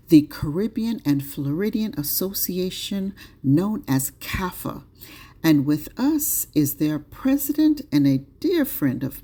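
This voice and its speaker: A woman hosting a talk show, reading a scripted opening slowly and in a measured way. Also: low voice, mellow, slightly rough. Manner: slow, measured delivery. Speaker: host. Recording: dull sound with music bed.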